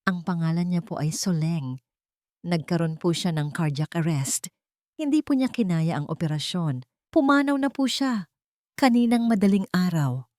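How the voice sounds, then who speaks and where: A woman's voice: fairly low pitch, lively intonation, normal speed, a little mellow, dry recording, studio quality, natural voice. A woman voicing a polite, grave older woman calling from a hospital, in an audio love story.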